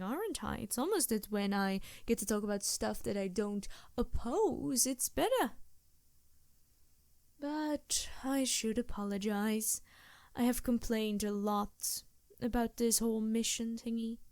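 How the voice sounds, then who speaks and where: Slow, soft-spoken succubus played by a woman. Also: a little high, no room sound, clean studio sound. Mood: fond, teasing, then apologetic, hesitant. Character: scheming female succubus demon, seductive, reluctant but loyal ally. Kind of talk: improvised ASMR roleplay, intimate and conversational.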